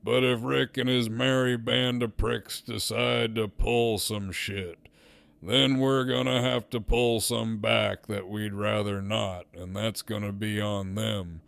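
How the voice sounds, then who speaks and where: A man playing a smug, menacing gang leader in an audio drama, with a sardonic, profane, deliberate drawl. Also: on the low side, steady tone, vocal fry, a bit bright, no echo, heavily acted. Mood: smug, commanding.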